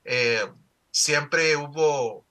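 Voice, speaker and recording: A man's voice: fairly high pitch, very slow, pushed and bright. A middle-aged man from El Salvador with a Salvadoran accent, telling his story in an interview with many fillers and restarts. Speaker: newspaper founder and CEO.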